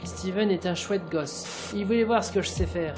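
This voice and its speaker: A woman playing a malfunctioning android student in an audio drama, with a halting, repetitive, insistent delivery. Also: mid-range voice, close to an everyday voice. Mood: glitchy, insistent. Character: malfunctioning android student, stuck repeating itself. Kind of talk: French audio drama acting. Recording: music behind the voice.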